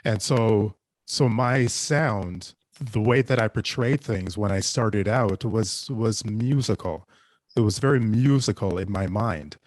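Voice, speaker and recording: A man speaking reflectively as a writer on a discussion panel, haltingly repeating phrases as he thinks. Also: lowish voice, a little mellow. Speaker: panelist, audio drama writer.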